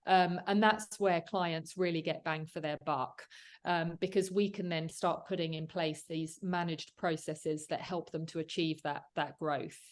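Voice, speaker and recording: A woman's voice: low-pitched, steady tone, unhurried. A woman commercial solicitor, a guest on the show, explaining in an earnest, conversational way with frequent fillers and restarts. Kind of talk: conversational interview.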